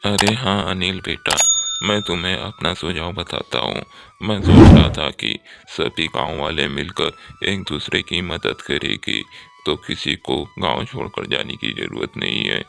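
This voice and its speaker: A man's voice: deep voice, a little slow, gravelly, a bit bright, slight background noise, heavily acted. A man playing a wise, benevolent older village headman in a cartoon story, with a fatherly manner. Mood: advising. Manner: fatherly, approving. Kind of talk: Hindi cartoon moral-story acting.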